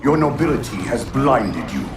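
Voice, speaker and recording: An actor playing an ancient, imperious alien warlord in a video game, menacing and vengeful, with pompous, archaic pronouncements. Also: male voice, very animated, normal speed, lightly acted. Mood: bitter, scornful. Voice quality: deep, gravelly. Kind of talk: theatrical video game villain monologue. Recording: very echoey, distant, loud music behind.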